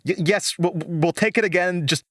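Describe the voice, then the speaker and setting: A man's voice: high voice, normal speed, a bit bright, no room sound. A man playing an anxious, haunted young host of a horror radio show in an audio drama. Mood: flustered.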